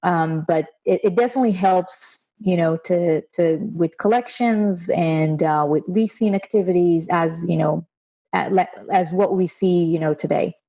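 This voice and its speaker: A woman in her thirties from Israel, a guest on an interview, talking relaxed and fluent with filler words. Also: fairly low pitch, measured pace. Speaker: guest, real estate investor. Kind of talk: conversational interview.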